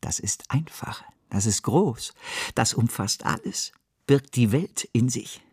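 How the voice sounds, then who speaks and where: An actor playing a driven, scheming Parisian financier in a radio play, emphatic and self-assured. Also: male voice, medium pitch, lively intonation, takes it slowly, whispered. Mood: excited scheming.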